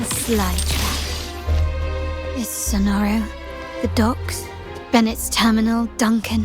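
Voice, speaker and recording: An actress playing a poised young noblewoman in a video game, speaking her thoughts in measured, formal phrases. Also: fairly high pitch. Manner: measured, deliberate, formal diction. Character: poised young noblewoman investigator of a mafia family, wary and resolute. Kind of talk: video game voice acting, inner monologue.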